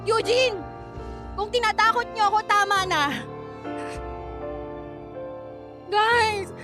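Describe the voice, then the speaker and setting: High-pitched, anxious Filipino student girl. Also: steady tone, measured pace, music in the background. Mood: frightened, exasperated. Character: anxious, indecisive teenage girl student, hurt by friends. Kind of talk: Filipino radio drama acting.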